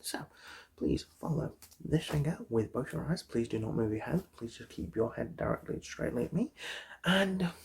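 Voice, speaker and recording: A soft-spoken ASMR performer role-playing an eye examiner, murmuring and often whispering in an unhurried, chatty, polite clinical patter with a breathy, husky voice. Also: mid-range voice.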